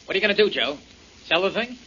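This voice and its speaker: An actor playing an excitable, blunt, slangy airline partner in a 1940s radio drama. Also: male voice, high voice, fast. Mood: brash, excited. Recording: muffled, hissy 1940s radio recording.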